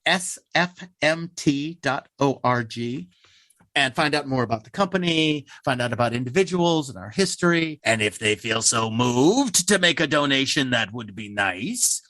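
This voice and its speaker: A man's voice: medium pitch, lively intonation, moderate pace, sharp tone, no room sound. A man who works as a playwright in a theater troupe, explaining things casually in an interview.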